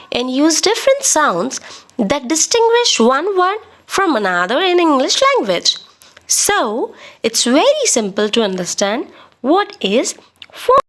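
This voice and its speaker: Female children's teacher, high register, lively sing-song intonation, deliberate and enunciated instructional read. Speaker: children's phonics teacher and presenter. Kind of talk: slow instructional lesson for young children.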